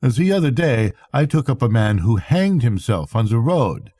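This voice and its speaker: Storybook role: bitter, watchful Swedish captain, voiced by a male reader, precise delivery, very dark tone, husky edge. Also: mid-range voice, very animated, no room sound, studio quality. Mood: bitter, wry. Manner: precise, deliberate speech. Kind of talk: storybook character dialogue.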